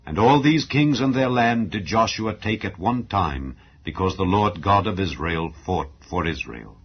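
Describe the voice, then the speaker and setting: Male scripture narrator, low register, solemn and declamatory, slightly muffled lo-fi sound. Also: lively intonation, measured pace, breathy edge. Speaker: audio Bible narrator. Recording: dull lo-fi recording. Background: background music.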